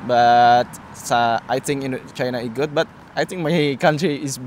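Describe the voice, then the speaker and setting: A man from Indonesia with an Indonesian accent, answering casually in halting English and often repeating words. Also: mid-range voice, darkish tone. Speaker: interviewee. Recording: clear outdoor traffic noise.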